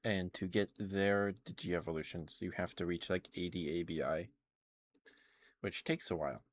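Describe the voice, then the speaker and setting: A man's voice: deep voice, fairly even intonation, moderate pace, no room sound. A man streaming casual ASMR chat about video games, rambling and hesitant as he trails off and corrects himself.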